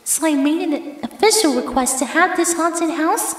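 Woman acting a high-pitched possessive yandere girlfriend, drenched in echo. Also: lightly acted. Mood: sweetly possessive. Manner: sugary endearments, slow and theatrical, commanding. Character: possessive, menacing yandere ex-girlfriend haunting a mansion. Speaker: voice actress in audio roleplay. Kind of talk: ASMR horror roleplay acting. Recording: echoey, long hall-like reverb.

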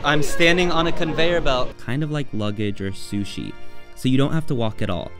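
A man's voice: mid-range voice, normal speed, over music, a crowd in the background. Upbeat American man vlogging outdoors. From United States. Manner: upbeat, chatty, enthusiastic. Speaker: travel vlogger. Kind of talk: travel vlog narration and on-camera talk. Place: outdoors at a large expo site at night. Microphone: phone or camera held at arm's length.

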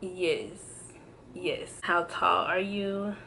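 Young woman in her twenties, slow unhurried delivery, lowish voice, hesitant and chatty, faint room noise. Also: gravelly, rough recording. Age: 20s. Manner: relaxed, hesitant, many ums and restarts. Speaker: vlogger. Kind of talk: casual tag video chat. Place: indoors at home, in front of a backdrop. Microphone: camera framed close, seated.

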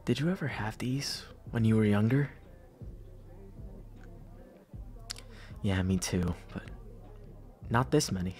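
A young man playing an affectionate, goofy best friend at a party in an intimate audio roleplay, halting and repeating himself in casual slang. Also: fairly low pitch, expressive, a little mellow, faint music behind, natural voice. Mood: casual, playful.

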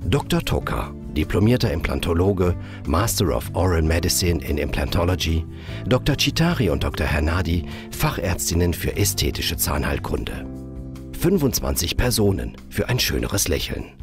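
A man's voice: low voice, very animated. A man reading a formal, scripted voice-over for a clinic promo. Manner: formal, measured. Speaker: promo narrator. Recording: voice-over with guitar music. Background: guitar music.

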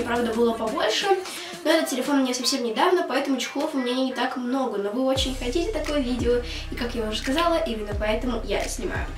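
A young teenage girl with a high voice talks in a casual, rambling vlog style. She is recorded a little away from the mic in an echoey small room, with music playing clearly behind her. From Russia. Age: 13 years old. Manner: informal, rambling, girlish teenage talk. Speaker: video blogger. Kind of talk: casual chatty vlog to camera. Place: small attic room at home. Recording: roomy echo, distant mic, music behind. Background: background music.

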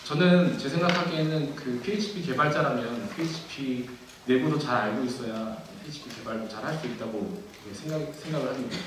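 A young man giving a technical keynote at a conference, speaking in a measured way with frequent fillers and restarts. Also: mid-range voice, a bit bright, a little hiss or hum. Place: large dark auditorium. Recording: echoey hall from far off.

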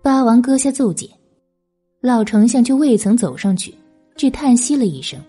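A woman's voice: fairly high pitch, animated, moderate pace, mellow, husky, soft background music. A woman narrating an audiobook novel in a scripted, dramatic storytelling style.

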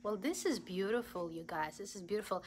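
A woman's voice: medium pitch, expressive, fairly quick. A young woman with a Southern American accent, enthusiastically interviewing a shop owner on a phone camera in a large store. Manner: enthusiastic, gushing praise. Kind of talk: casual live store tour interview. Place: large indoor clothing boutique. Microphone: handheld phone camera.

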